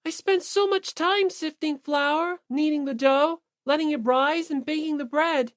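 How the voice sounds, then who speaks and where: A woman's voice: sits high, measured pace, no room sound, studio quality, pitched above the performer's own voice. A woman voicing a sweet, earnest, delicate teenage boy in an audiobook. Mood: awed, moved. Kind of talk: audiobook character acting.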